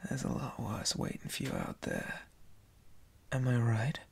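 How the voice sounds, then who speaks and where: A man playing a deranged, taunting monster boy inmate in an ASMR horror roleplay, with a breathy, whispery rasp and a slow, teasing drawl. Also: medium pitch, wildly swooping pitch, slight background noise, heavily acted. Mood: sly, menacing.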